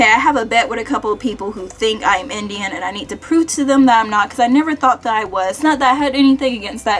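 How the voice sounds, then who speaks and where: A young American woman chatting casually to viewers in an animated, self-deprecating way, like a vlogger. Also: on the high side. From Kentucky, United States. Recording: music behind the voice.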